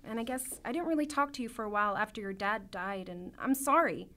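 A woman's voice: mid-range voice, a little fast, bright, forceful tone, lightly acted. A young female actor playing an anxious, emotional teenage girl in a radio drama. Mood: defensive, upset. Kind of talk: youth radio drama acting.

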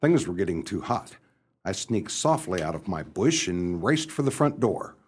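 Man playing a husky, animated young gentleman bumbler. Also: low-pitched, normal speed, exaggerated voice. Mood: wry, exasperated. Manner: hesitant, bumbling, old-fashioned slang. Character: Bertie, flustered upper-class young English gentleman narrating his scrapes. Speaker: narrator and lead actor. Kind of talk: radio play storytelling and dialogue.

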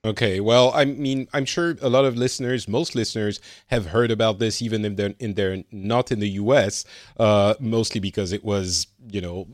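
A man's voice: fairly low pitch, steady tone, normal speed, no echo, clean studio sound. A man from France hosting a podcast in casual chat, rambling with many fillers and restarts. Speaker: podcast host.

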